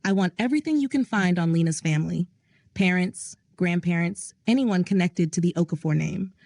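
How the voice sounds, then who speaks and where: A male crime boss gives orders in a steady, measured way, read by a woman narrator. He stays controlled and commanding throughout, in a dry, close story reading. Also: lowish voice, vocal fry, no echo. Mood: calm. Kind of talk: audio drama reading.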